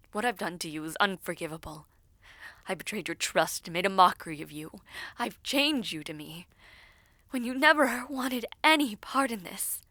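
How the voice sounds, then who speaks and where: Woman voicing a young sorceress villainess, weary and remorseful, halting half-whispers, slow pace, medium pitch, intimate roleplay drama. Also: sharp tone, no echo. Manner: hesitant, halting, breathy half-whispered speech. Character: guilt-ridden, recovering young villainess sorceress, weary and self-loathing.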